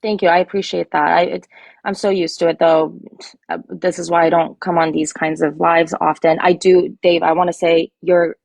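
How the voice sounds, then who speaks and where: An American woman with a low-set voice and a gravelly, creaky edge, speaking earnestly and insistently as she defends herself. Her sentences often restart as she presses her point. The sound is close and dry, with no room echo. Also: little pitch movement, normal speed, darkish tone. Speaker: guest caller. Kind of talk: heated live-stream debate.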